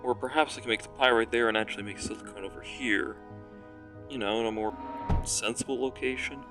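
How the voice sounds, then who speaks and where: A young man with a raspy voice, casually commenting on a factory-building game as he plays and rambling, self-mocking, while he thinks aloud. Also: mid-range voice, fairly even intonation, brisk, music in the background. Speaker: gaming commentator.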